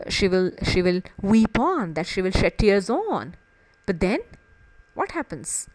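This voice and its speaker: A woman's voice: mid-range voice, no echo, low-quality recording. A woman literature teacher explaining a play in an emphatic way, often repeating and rephrasing phrases to stress them.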